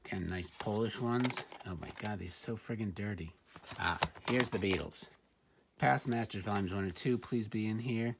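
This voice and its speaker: A middle-aged man talks off the cuff in a low, gravelly voice, dropping dry, muttered asides as he sorts through items. It is a very noisy home recording, with clicks from things being handled. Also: normal speed. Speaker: reseller showing thrift finds. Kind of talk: casual off-the-cuff unboxing commentary. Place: indoor room at home, at a table.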